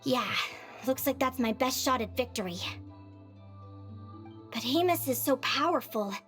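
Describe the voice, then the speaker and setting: High-voiced, upbeat young advisor. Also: female voice, a little slow, faint music behind. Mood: determined. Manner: friendly, expressive, conversational. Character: upbeat, self-assured young woman, an earnest advisor. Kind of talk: video game voice acting.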